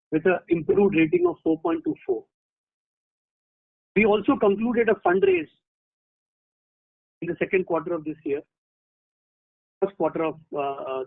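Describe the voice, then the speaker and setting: A man with an Indian accent speaking formally and haltingly as a company executive presenting results on a conference call. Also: high voice, creaky, no room sound. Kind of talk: prepared earnings call remarks. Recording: narrowband conference phone line.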